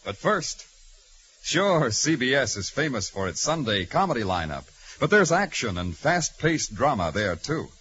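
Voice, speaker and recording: A man's voice: mid-range voice, sing-song, unhurried, fairly bright tone, slight background noise. A man working as a radio announcer, with a polished promotional 1940s delivery. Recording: muffled old radio broadcast.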